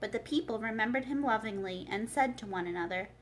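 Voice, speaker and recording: A woman reading a children's storybook aloud as a librarian, in an expressive narrating style. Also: fairly high pitch, fast talker, low-quality recording.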